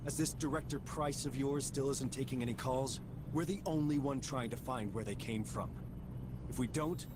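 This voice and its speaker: A man's voice: medium pitch, normal speed, noisy background, natural voice. A man playing a stern, guarded marine sergeant in a video game cutscene, blunt and commanding. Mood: insistent. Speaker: game voice actor. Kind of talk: dramatic game cutscene dialogue.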